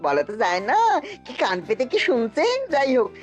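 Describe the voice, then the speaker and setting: A woman's voice: on the high side, wildly swooping pitch, moderate pace, soft background music. A woman playing a scheming young son-in-law in a cartoon, wheedling and theatrical. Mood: sly, eager. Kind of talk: cartoon dialogue. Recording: dull, band-limited sound.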